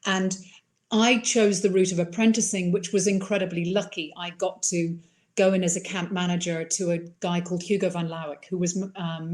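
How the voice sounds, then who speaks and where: A woman's voice: lowish voice, a little mellow. A middle-aged woman with an Irish accent, chatting enthusiastically as an interview guest, with plenty of fillers. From England. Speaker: wildlife filmmaker guest. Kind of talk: casual interview answers.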